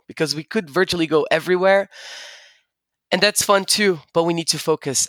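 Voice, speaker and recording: Clear-voiced young man, closely recorded. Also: on the high side, a bit bright, no room sound. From Brazil, grew up also in the United States. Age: young adult. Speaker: interview guest, head of international sales. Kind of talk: explanatory business interview.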